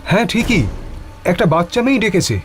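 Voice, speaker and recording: A man voicing an anxious, stranded doctor in an animated horror story, polite but urgent and insistent. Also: high voice, a little fast, voice pushed up. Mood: puzzled. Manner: urgent, questioning, exclaiming. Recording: music behind the voice.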